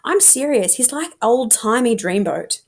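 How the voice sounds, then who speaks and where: A woman voicing a self-deprecating, crush-struck teenage theatre girl from a novel, chatty, excitable and teasing. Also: fairly high pitch, a little slow, heavily acted. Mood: gushing.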